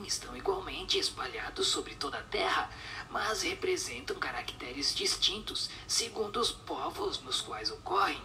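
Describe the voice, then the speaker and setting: Actor as otherworldly instructive spirit for radio drama: whispered, sharp-edged, swooping intonation, solemn and didactic, music underneath. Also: medium pitch, far from the mic, heavily acted. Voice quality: breathy, whispered, creaky voice. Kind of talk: radio drama question-and-answer dialogue.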